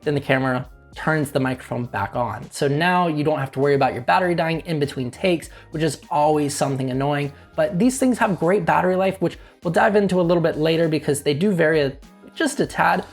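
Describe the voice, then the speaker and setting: A young man with a fairly high voice hosting a casual product review, chatting to viewers and tossing in joking asides. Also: brisk, soft background music. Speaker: tech reviewer, channel host.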